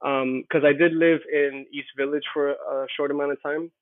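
A man's voice: fairly high pitch, little pitch movement, normal speed, dry recording. A man from New York City, a podcast guest chatting casually and rambling, with many repeated false starts. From Queens, New York City. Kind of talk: casual conversation. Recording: tinny phone-like line, dry.

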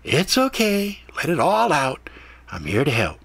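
A man's voice: huge pitch swings, measured pace, creaky, fairly bright tone, slightly rough, dry recording, full character voice. A man voicing a sassy female fey cat who talks in blunt slang. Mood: soothing. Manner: brash, slangy, high-pitched. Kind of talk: audiobook character voice.

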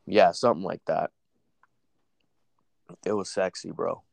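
A man's voice: deep voice, fairly even intonation, a little slow, gravelly, darkish tone, no echo. Stuffy-nosed laid-back young man. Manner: laid-back, says "dude" and "bro" a lot. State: stuffy from allergies. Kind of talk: casual sports chat.

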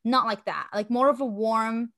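A woman's voice: on the high side, moderate pace, a bit bright. Giggly young woman co-host. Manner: agreeable, giggly, lots of backchannel. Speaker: podcast co-host. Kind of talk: casual podcast chat.

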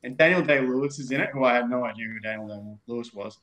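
A man's voice: mid-range voice, racing, a bit bright. A man in his thirties with an Australian accent, a podcast guest chatting casually in a meandering way, with lots of fillers. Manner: meandering, full of fillers and restarts. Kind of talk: casual chat.

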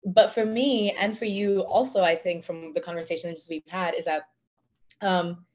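A woman's voice: lowish voice, steady tone, normal speed, darkish tone. A young woman in her twenties from India with an Indian accent, speaking as a podcast guest in reflective, winding sentences with many fillers. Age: 20s. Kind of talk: casual conversation. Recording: dull lo-fi audio.

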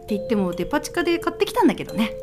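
A doting, cheerful woman acted by a podcaster in a festive radio drama. Her voice is high and swings freely as she exclaims and coaxes, with faint music behind her. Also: big pitch swings, very fast. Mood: festive, eager. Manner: bright festive exclamations, coaxing. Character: cheerful doting woman hosting Christmas dinner for partner.

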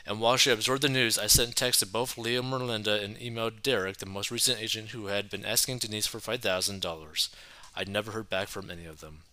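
A man reading an article aloud at a steady, measured pace, then turning to casual commentary. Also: fairly low pitch, no echo.

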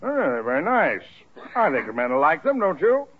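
Pompous employer, husky, animated high voice, vintage radio acting, dull sound. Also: male voice, normal speed, full character voice. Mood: self-important. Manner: pompous, flustered. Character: pompous, stingy company boss. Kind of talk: 1940s radio sitcom acting. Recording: muffled old radio recording.

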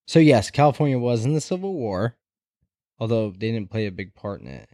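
A man's voice: medium pitch, lively intonation, a little fast, mellow, no room sound, clean studio sound. A man chatting casually as a podcast co-host, with a teasing, sarcastic manner. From New York City. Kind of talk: casual chat.

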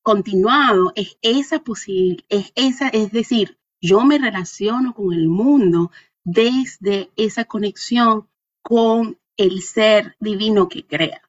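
A woman's voice: mid-range voice, slow pace, lo-fi sound. A woman from Caracas with a Venezuelan accent, answering reflectively in an interview, thoughtfully searching for words and checking in with small tag questions. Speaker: interview guest, dancer and artist.

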